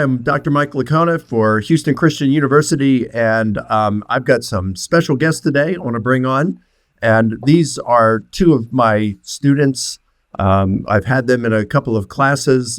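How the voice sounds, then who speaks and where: A man's voice: mid-range voice, no echo. Man hosting a casual interview. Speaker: host, university professor.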